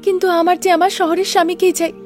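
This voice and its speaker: A very high-pitched young village bride from a cartoon tale, played by a woman. She sounds plaintive and wistful, pining for her husband, with faint music behind her. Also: level tone, quick pace, a little mellow. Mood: sad, longing.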